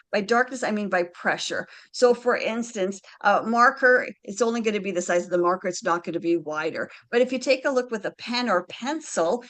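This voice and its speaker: A woman's voice: mid-range voice, fairly bright tone. Slovene-woman explaining on a video call. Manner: chatty and explanatory, frequent "alrighty" and "right?" check-ins. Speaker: handwriting analyst presenter. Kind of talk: explanatory presentation to a group.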